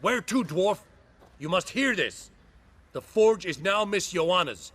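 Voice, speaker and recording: An actor playing a haughty general of an invading empire in a fantasy game, formal, commanding and disdainful. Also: male voice, high voice, lively intonation, slow pace, a bit bright, breathy edge, heavily acted. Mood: grudging, curt. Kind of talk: fantasy video game acting.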